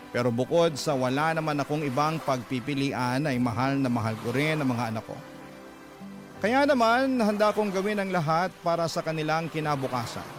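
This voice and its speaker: A man with a Filipino accent, a radio host reading listener letters as dramatic, measured narration. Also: a little high. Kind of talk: dramatic scripted story narration.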